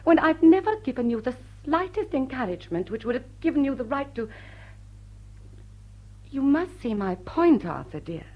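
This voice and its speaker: A woman's voice: high-pitched, sing-song, normal speed, muffled recording. An actress playing a poised, witty society lady, arch and mock-sweet as she coolly rebukes, in a vintage drawing-room radio comedy. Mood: firm, goading.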